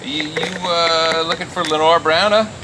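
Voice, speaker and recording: A man playing a blunt, suspicious husband in a radio play, with halting delivery. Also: a little high, steady tone, background noise, lightly acted. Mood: wary, blunt. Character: gruff, suspicious husband with a guard dog. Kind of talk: amateur radio play acting.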